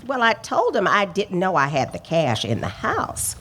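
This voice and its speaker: A woman's voice: lowish voice, wildly swooping pitch, normal speed, fairly bright tone, a little hiss or hum, exaggerated voice. A woman playing a feisty, talkative and opinionated witness in a radio police drama, speaking in emphatic, clipped sentences. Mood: matter-of-fact, chatty. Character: feisty, talkative female check-forgery witness, indignant and opinionated. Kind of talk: live radio play acting, police drama.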